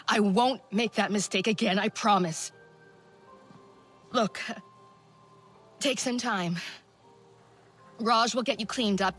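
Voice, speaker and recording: An actress playing a mature, guilt-laden resistance leader in a video game, solemn, halting and reassuring. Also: female voice, fairly high pitch, fairly bright tone. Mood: remorseful. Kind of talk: video game cutscene acting.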